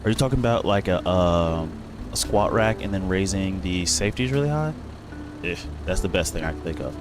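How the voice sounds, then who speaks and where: A man's voice: deep voice, animated, a little fast, music in the background. Rambling young man streaming a game. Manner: rambling, chatty, lots of fillers and self-corrections. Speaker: gaming streamer. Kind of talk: casual chat while streaming a farming game, answering chat.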